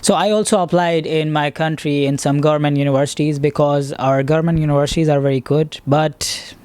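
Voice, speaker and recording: Male student, twenties, Pakistani accent, slightly high smooth voice, casual interview delivery. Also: steady tone, fairly quick, darkish tone, no echo. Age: 20s. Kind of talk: casual introduction and interview answers. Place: student dormitory room.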